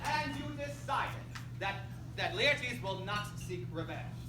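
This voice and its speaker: Animated high-voiced woman narrating, echoey and distant. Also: takes it slowly. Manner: wry, theatrical asides. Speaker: narrator of a school stage play. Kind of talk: comic scripted narration to a live audience. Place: indoor live performance, distant microphone. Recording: echoey, noisy room recorded from far off.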